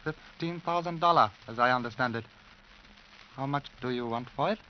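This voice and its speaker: An actor playing a shrewd, suave crime boss, deliberately polite and faintly menacing, in a vintage radio drama. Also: male voice, mid-range voice, natural voice. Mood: coolly menacing. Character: suave, shrewd Chinese-Irish crime boss in a border club. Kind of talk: 1950s radio drama acting. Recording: dull, noisy vintage radio recording.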